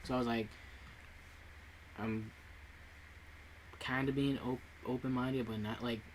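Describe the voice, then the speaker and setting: A man's voice: mid-range voice, little pitch movement, slow, slight background noise, rough recording. A young man co-hosting a podcast in casual chat, talking hesitantly and leaning heavily on filler words. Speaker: podcast co-host.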